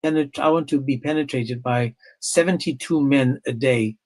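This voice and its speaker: A man's voice: mid-range voice, moderate pace, a little mellow. A man hosting a livestream debate, goading his guest with pointed questions. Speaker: debate stream host.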